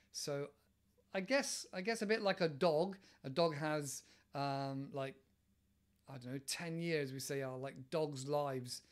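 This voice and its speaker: Brief: English man in his forties, casual podcast teacher, rambling delivery, a touch high-pitched, home mic. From England. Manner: relaxed, rambling, many fillers and restarts. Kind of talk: casual explanatory monologue. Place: living room at home. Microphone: headset with desk mic, webcam.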